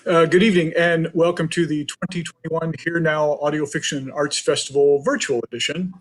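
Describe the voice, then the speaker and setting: A man's voice: fairly high pitch, fairly even intonation, brisk. A man giving a prepared welcome and announcements as host of a panel. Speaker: festival president introducing a panel.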